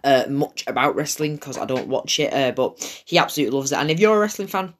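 A man's voice: mid-range voice, expressive, quick pace. Man, Wigan accent, podcast host, casual solo talk, rambling, hesitant. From England.

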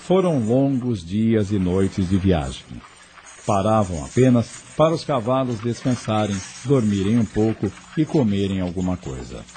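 A man narrating a scripted radio drama in a measured, solemn storytelling style. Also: on the low side, very animated, dark tone, slight background noise.

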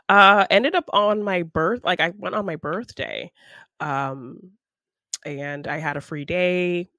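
A woman's voice: deep voice, fairly bright tone, no room sound, clean studio sound. A woman co-hosting a podcast, chatting casually about films in a conversational, giggly way. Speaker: podcast co-host.

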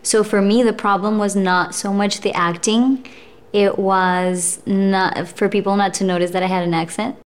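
Brief: young woman actress, reflective guest, unhurried and full of fillers. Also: medium pitch, fairly even intonation. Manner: thoughtful, with frequent fillers and laughs. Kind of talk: casual interview chat.

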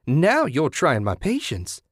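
Man voicing a sinister, raspy, sanctimonious woman captor. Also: medium pitch, huge pitch swings, no echo, studio quality, voice pushed up, heavily acted. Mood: scolding menace. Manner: taunting, sinister, drawn-out threats. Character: menacing, sanctimonious, depraved woman captor with knife. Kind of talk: dramatic audiobook character voice.

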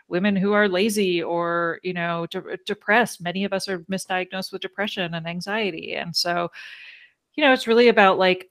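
A woman coach introducing herself in a casual, reflective way, phrasing her thoughts haltingly. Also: lowish voice, gravelly, a bit bright. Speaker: ADHD coach for women. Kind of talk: casual personal introduction in a group conversation.

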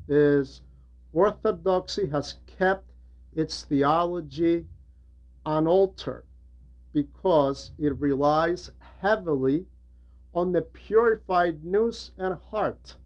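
Deliberate male preacher, slightly high-pitched, dull recording. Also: darkish tone. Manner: didactic, deliberate phrasing with repetition for emphasis. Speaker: preacher and religious teacher. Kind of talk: homily, explanatory religious teaching.

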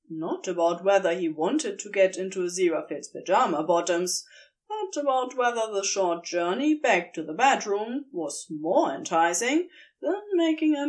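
A woman reading fan fiction aloud in soft, unhurried narration. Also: mid-range voice. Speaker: podfic reader.

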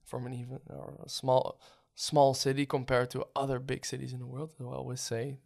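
A man's voice: medium pitch, monotone, brisk, creaky, a little mellow. A man with a Dutch accent hosting an interview, asking questions with hesitant restarts and ums. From Netherlands. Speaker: podcast host. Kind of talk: interview conversation.

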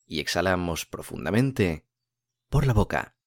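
A man narrating a guided meditation as host, speaking in a calm, soothing, unhurried way with long pauses. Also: low-pitched, no echo, studio quality.

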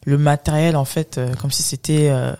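A woman's voice: deep voice, steady tone, moderate pace, mellow. A woman hosting a conversational interview, speaking in a thoughtful, informal and wordy manner. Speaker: podcast host, interviewer.

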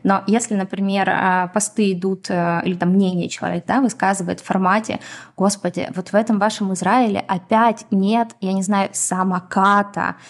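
Hesitant, measured woman from Ukraine. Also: medium pitch, steady tone. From Donetsk, Ukraine. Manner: measured, explanatory, many hesitations. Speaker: guest, community founder. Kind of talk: podcast interview answers.

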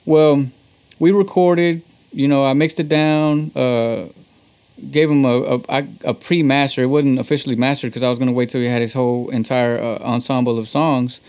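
A man's voice: medium pitch, fairly even intonation, normal speed, clean tone. Slangy Texan man in muffled audio. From Texas. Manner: slangy, conversational. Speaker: interview guest, talk box musician. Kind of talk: casual chat.

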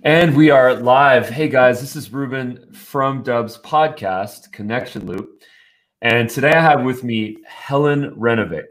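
A man's voice: medium pitch, a little slow, fairly bright tone. A man hosting a business podcast, upbeat and chatty, who restarts his questions as he asks them. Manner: upbeat, repeats himself when starting questions. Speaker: podcast host. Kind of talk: interviewing a guest.

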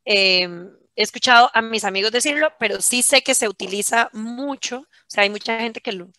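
A young woman with a Costa Rican accent, chatting casually as a podcast co-host and telling animated stories. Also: on the high side, moderate pace, no echo, studio quality. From Costa Rica. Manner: animated storytelling, mimicking others' slang. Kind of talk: casual chat.

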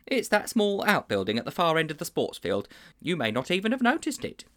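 A man's voice: on the high side, brisk, pitched above the performer's own voice. A man voicing an earnest, anxious older schoolteacher who speaks formally and a little fussily. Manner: formal, slightly fussy, animated.

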